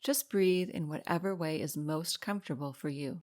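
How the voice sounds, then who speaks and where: A woman leading a guided meditation in a calm, soothing, unhurried way, pausing between short instructions. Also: sits low. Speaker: meditation guide and podcast host.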